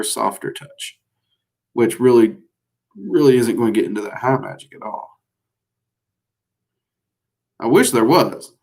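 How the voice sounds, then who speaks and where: A man with a Southern US accent hosting a casual livestream, answering viewers in a rambling, folksy way with lots of fillers. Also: medium pitch. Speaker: livestream host teaching magic.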